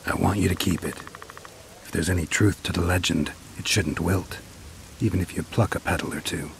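An actor playing a stoic, world-weary monster hunter in a video game, with a gruff, terse, deadpan delivery. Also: male voice, sits low, moderate pace. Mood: tender. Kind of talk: video game dramatic dialogue. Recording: steady rain and ambient noise behind.

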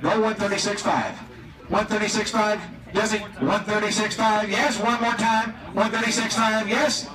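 A man's voice: sits high, moderate pace. An American man working as an auctioneer, chanting repetitive, rhythmic bids to a live crowd and pushing bidders along. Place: outdoors on a marina dock. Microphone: picked up by a distant camera. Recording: distant, echoey outdoor recording with crowd.

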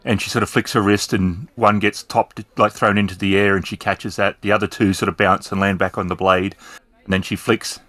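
A man's voice: fairly low pitch, level tone, brisk, fairly bright tone, dry recording. A man improvising descriptive narration as the storyteller of a tabletop roleplaying game. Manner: descriptive, explanatory.

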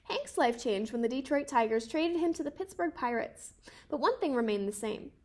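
A woman in her twenties reading a children's picture book aloud in a careful, measured way. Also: high voice, animated, darkish tone. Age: 20s. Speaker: storybook reader.

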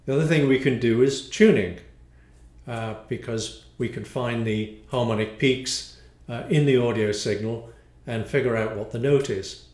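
A man in his sixties giving an explanatory, semi-improvised product demo in a measured, hesitant manner with frequent pauses. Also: medium pitch, a little mellow. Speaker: module designer presenting a demo. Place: small home studio room, indoors. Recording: roomy, echoey home room.

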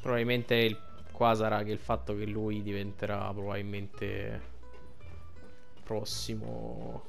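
A man's voice: lowish voice, completely flat delivery, a little slow, creaky. An Italian man giving casual, rambling and crude commentary over a video game. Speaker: gameplay streamer commentator. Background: game music.

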